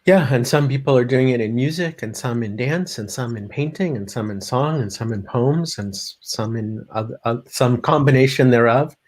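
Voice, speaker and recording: A man hosting a live-streamed panel discussion, speaking haltingly with many pauses. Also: mid-range voice, a little mellow. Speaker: host, panel moderator.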